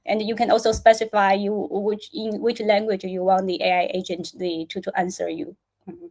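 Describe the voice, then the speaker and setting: A woman's voice: medium pitch, flat delivery, normal speed, darkish tone. A woman presenter answers product questions off the cuff, repeating words and phrases as she explains and checking in with "right?". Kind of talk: live product Q&A, spontaneous explaining.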